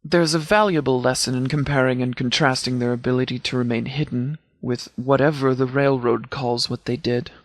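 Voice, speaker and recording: Man playing a measured, formal sheriff's commander. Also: medium pitch, no echo, studio quality, close to an everyday voice. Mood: measured, dry. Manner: measured, formal, precise, deadpan. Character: composed, formal male sheriff's department commander, strategic and dry. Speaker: game character, law officer. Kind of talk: video game dialogue voice lines.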